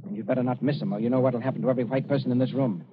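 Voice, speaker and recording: A man's voice: mid-range voice, steady tone, lightly acted. Man acting a wily adventurer, muffled old radio. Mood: low, menacing. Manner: persuasive, deliberate, simple phrasing. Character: wily, commanding English adventurer coaxing an African chieftain. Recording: muffled, noisy 1940s radio recording.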